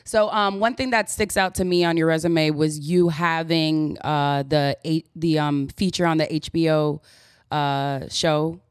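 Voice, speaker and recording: Low-voiced woman host, dry close sound. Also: steady tone, measured pace, smooth, dry recording. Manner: affirming, backchannels a lot. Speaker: podcast host. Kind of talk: casual interview chat.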